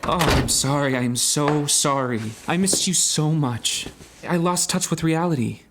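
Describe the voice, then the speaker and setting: An actor playing an anguished, driven escape artist in a stage musical, in speech and song. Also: male voice, a little high, unhurried, very noisy. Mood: grieving, subdued. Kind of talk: musical theatre singing and dramatic acting. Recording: music under the voice.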